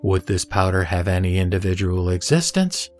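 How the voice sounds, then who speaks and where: A man playing the Buddha as a serene teacher, reciting scripture in a measured, solemn audio drama style. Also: deep voice, big pitch swings. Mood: serene, instructive. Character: the Buddha, serene male teacher addressing his disciple. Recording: music behind the voice.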